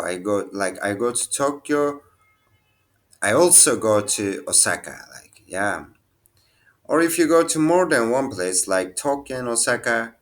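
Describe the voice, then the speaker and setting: Slow, halting man teaching a lesson. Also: fairly low pitch, gravelly. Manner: halting, thinks aloud, restarts and fillers. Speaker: language teacher. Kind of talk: casual screen-share lesson.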